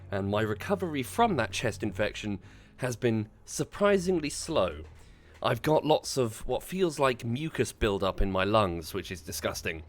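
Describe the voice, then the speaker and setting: Man, hoarse, congested, polite casual streamer chat, animated pitch. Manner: polite, chatty, pauses to cough. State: hoarse, congested after chest infection. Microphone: desk microphone.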